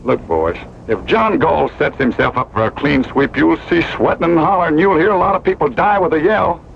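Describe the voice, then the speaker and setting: Folksy, creaky-voiced cowboy sidekick. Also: male voice, a little high, lively intonation, a little fast, a little hiss or hum. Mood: plainspoken, wry. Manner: drawling rural cowboy speech, wry. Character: folksy, talkative, worrying old-West sidekick, male. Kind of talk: old-time radio western acting. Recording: muffled old radio broadcast, music behind.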